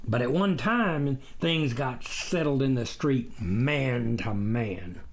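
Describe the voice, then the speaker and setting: A man narrates a memoir like a practiced storyteller. His delivery is dramatic and expressive, with pitch that moves widely through each sentence. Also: takes it slowly. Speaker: author reading his own memoir. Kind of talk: scripted audiobook narration.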